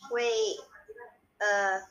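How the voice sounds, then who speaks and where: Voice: medium pitch, level tone, drawn-out words, fairly bright tone. A child co-hosting a casual podcast and playing a guessing game. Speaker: podcast co-host.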